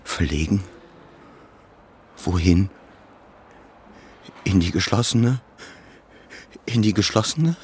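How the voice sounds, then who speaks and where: An actor playing an anguished, self-mocking depressed father who narrates his inner life as a patient in a confessional radio play, in halting short phrases. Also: deep voice, expressive, a little hiss or hum. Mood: distressed, frightened. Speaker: narrator and main character. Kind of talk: introspective first-person radio play narration. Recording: music under the voice. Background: prominent music.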